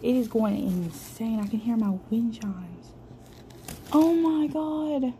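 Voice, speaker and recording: Woman, frightened and shaky, mellow soft-edged tone, breathless exclamations, amateur phone video, faint wind noise. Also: a little high, slow pace. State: scared, shaky. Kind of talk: excited running commentary on a phone video. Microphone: handheld phone. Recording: noisy amateur phone recording, storm ambience.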